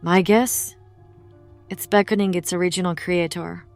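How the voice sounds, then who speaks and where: A woman's voice: lowish voice, fairly even intonation, moderate pace, soft background music, lightly acted. A woman playing a grave, knowing investigator of the occult who explains things in formal words in an audio drama. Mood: explaining.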